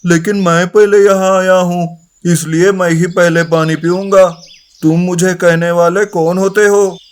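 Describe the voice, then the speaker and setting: A man performs a big, indignant elephant from a children's cartoon fable. The voice is somewhat high for a male. He talks like a stubborn arguer who will not be pushed aside. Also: little pitch movement, measured pace. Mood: defiant. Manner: defiant, argumentative. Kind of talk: Hindi animated moral story dialogue.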